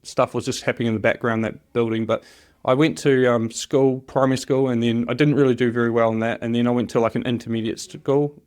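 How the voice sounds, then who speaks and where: A man's voice: medium pitch, steady tone, fast, darkish tone. A man from New Zealand with a New Zealand accent, talking casually and hesitantly as an interview guest, rambling through personal stories. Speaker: interview guest, job shop machinist.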